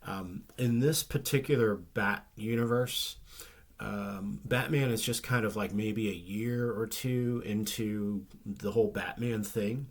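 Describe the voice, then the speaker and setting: A man chatting casually about comic books, his voice a little rough and nasal from a recent flu, rambling with many ums and restarts. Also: lowish voice, takes it slowly. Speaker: comic book vlogger. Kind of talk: casual chat.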